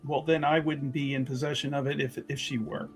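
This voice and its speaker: A woman playing an evasive religious sister in a tabletop game, measured and formal in her answers. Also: a bass voice, little pitch movement, soft background music. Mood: guarded. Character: guarded, evasive religious sister investigating a mystery.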